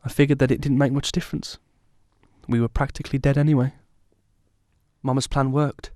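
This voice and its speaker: A man playing a haunted survivor, recounting a traumatic youth in solemn, measured scripted narration for an audio drama. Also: medium pitch, flat delivery, mellow, lightly acted.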